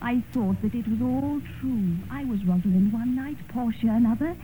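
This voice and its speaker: A woman's voice: on the high side, normal speed, natural voice. An actress playing a devoted, idealistic young woman of the stage in an old radio drama, earnest and theatrical. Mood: fervent. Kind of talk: 1950s radio drama acting. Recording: muffled, hissy old radio broadcast.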